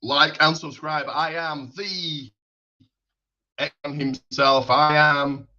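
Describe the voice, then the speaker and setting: A man's voice: fairly high pitch, steady tone, very slow, pushed and bright. A man hosting a podcast, introducing his guest with an announcer-style build-up, then chatting casually. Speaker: podcast host. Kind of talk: hyped show intro, then casual interview chat. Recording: dull lo-fi audio.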